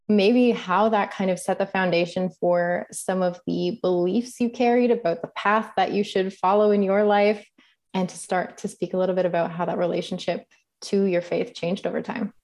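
A woman interviewing a guest, asking long, gentle probing questions. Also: medium pitch.

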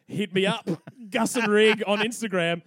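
A man's voice: high-pitched, fairly even intonation, unhurried, fairly bright tone, no room sound, studio quality. Cheeky man telling history jokes. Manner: cheeky, irreverent, laughs often. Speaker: podcast host. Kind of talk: comedic history storytelling.

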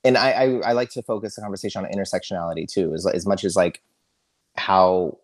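A middle-aged man as a podcast guest, talking candidly and loudly, laughing often. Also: deep voice, fast. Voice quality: loud voice. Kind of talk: casual interview chat.

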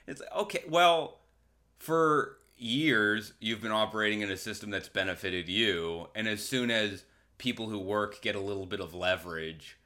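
A man's voice: fairly low pitch, normal speed, pushed and bright. A man hosting a podcast solo, ranting casually and comedically with sarcastic, profane, colloquial talk and acted-out imagined quotes. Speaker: podcast host.

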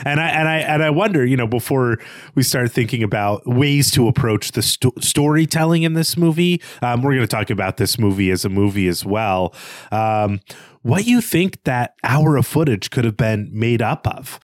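A man's voice: medium pitch, moderate pace, dry recording. A man co-hosting a podcast, discussing film casually in a thoughtful, hesitant way. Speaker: podcast co-host.

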